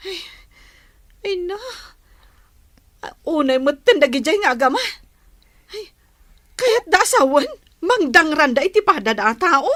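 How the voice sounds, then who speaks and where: A woman's voice: sits high, very animated, unhurried, long pauses, fairly bright tone, no echo, clean studio sound, exaggerated voice. A woman with an Ilocano accent acting a worried, disapproving village mother in a radio comedy drama. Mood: fretful, exasperated.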